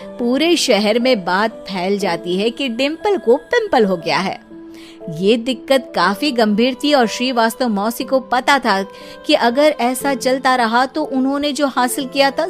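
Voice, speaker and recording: A woman narrating a children's moral story in a lively, playful way. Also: on the high side.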